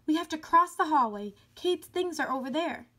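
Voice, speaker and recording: Woman reading as a bold, bright little doll. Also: sits high, expressive, moderate pace, voice pushed up. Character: small living girl doll, bold leader, plain-spoken.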